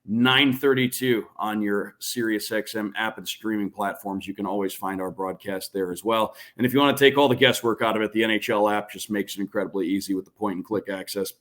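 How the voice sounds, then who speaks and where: A man's voice: on the low side, fairly even intonation, fairly quick, hardly any pauses, a bit bright. A man who works as a radio play-by-play broadcaster, talking as a podcast guest, fluent and announcer-like. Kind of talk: casual podcast interview.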